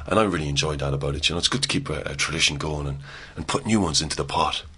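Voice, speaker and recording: A man with an Irish accent telling a folk tale with dramatic pauses, then chatting as a guest. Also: rumbling low, sharp tone, breathy edge. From Ireland. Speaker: storyteller. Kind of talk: storytelling and interview chat.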